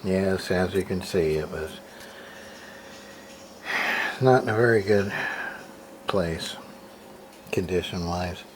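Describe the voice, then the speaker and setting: A man with a lowish voice talks over clear background noise in an amateur recording. He speaks casually and very slowly, halting often as he shows equipment in a matter-of-fact, half-muttered way. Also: poor audio. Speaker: hobbyist radio repairer, video host. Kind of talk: casual unscripted show-and-tell of equipment.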